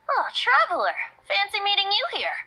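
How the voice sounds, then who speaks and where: Teasing, boyish bard, high and bright. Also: female voice, wildly swooping pitch, normal speed, heavily acted, poor audio. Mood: cheerful. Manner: teasing, theatrical, airy. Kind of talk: anime-style video game voice acting.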